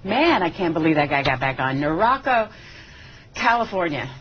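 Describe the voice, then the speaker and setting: A woman moderating a live call-in show, briskly announcing the next callers. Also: low voice, big pitch swings. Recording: muffled broadcast rip, faint hiss.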